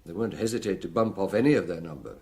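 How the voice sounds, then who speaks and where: An actor with a British accent playing a seasoned, gruff middle-aged police chief in a radio drama, measured, ruminative and commanding, with a husky voice. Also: male voice, low-pitched, animated, background noise. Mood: matter-of-fact.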